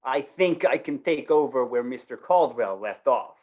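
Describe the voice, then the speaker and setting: Actor doing a flustered householder, old radio drama, pleading, lively pitch, kinda muffled audio. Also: moderate pace, close to an everyday voice. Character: flustered householder Mister Korlanovich. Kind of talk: 1950s radio play acting. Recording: dull live radio play stream.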